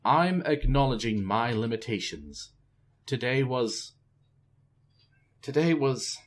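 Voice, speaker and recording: A man's voice: mid-range voice, very animated, slow. A man voicing an earnest young male king who searches for his words. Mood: searching.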